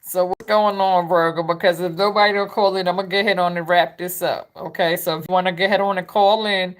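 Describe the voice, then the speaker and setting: Chatty New York woman with a lower voice. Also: steady tone, fast. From East Harlem, New York. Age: 50s. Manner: easygoing, chatty, uses "girl". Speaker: tarot reader hosting a live call-in. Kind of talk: casual live-stream call-in chat.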